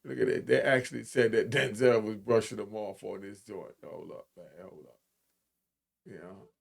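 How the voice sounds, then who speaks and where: A man's voice: fairly low pitch, fairly even intonation, moderate pace, vocal fry. A middle-aged American man giving casual reaction commentary in a slangy, informal manner, often repeating himself.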